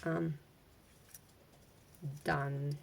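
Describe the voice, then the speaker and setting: A young woman from Ukraine with a Ukrainian accent, chatting casually and rambling at home while sorting craft supplies, halting with many fillers and restarts and a stutter at times. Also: deep voice, little pitch movement, vocal fry, very noisy. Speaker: vlogger.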